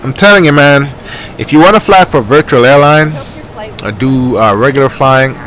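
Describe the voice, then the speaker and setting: A man's voice: moderate pace, low-quality recording. A middle-aged man from Jamaica with a light Jamaican accent, chatting casually and rambling with plenty of fillers while flying a simulator. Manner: rambling, easygoing, many fillers. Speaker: flight sim streamer. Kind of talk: casual gameplay commentary. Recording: steady aircraft engine rumble behind.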